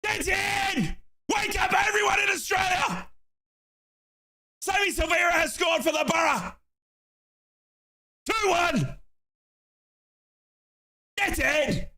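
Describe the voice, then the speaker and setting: Very high-pitched shouting male fan. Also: slow, long pauses. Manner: excitable, shouts chants and play-by-play. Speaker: live watchalong host. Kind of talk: live fan commentary on a football match. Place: home office.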